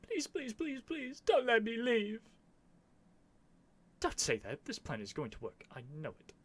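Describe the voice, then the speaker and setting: A man's voice: very high-pitched, wildly swooping pitch, measured pace, fairly bright tone, husky, exaggerated voice. A man playing a stubborn, flustered young prince with haughty, theatrical phrasing in an ASMR roleplay. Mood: desperate pleading.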